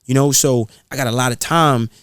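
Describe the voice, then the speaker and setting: A man's voice: medium pitch, fairly even intonation, brisk, fairly bright tone, smooth, no echo. A man speaking in an impassioned, preachy podcast style, repeating phrases for emphasis. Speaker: guest rapper being interviewed.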